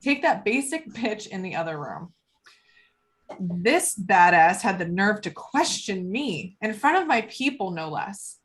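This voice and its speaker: A woman's voice: mid-range voice, big pitch swings, a little slow. A woman playing a wiry, talkative gangster in her thirties in a film script table read, chatty, boastful and streetwise. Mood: boastful, cocky. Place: remote call from home.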